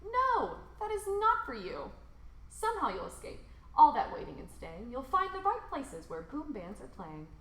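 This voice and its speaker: Animated, high-pitched woman reading a children's story. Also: echoey room, low-quality recording. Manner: animated, theatrical emphasis with dramatic shifts and drawn-out words. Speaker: storybook reader. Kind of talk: expressive read-aloud of a rhyming children's picture book.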